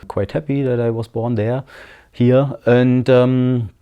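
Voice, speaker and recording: A man in his forties from East Berlin with a German accent, answering interview questions slowly in English, pausing often with ums and uhs as he searches for words. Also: medium pitch, level tone, clean tone, lo-fi sound. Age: 40s. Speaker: interviewee, noise musician.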